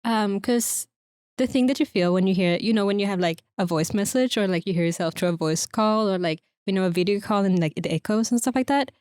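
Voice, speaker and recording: A soft-spoken woman co-hosting a podcast, talking casually in a quiet voice. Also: medium pitch, fairly quick, clear, resonant tone, no room sound, clean studio sound. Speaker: podcast co-host. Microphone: close podcast microphone.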